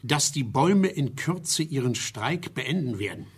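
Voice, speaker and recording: An actor playing an insistent business lobbyist in a German radio drama, formal, diplomatic and insinuating. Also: male voice, medium pitch, sing-song, measured pace, slightly rough, heavily acted. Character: smooth-talking, insistent business lobbyist pressuring government.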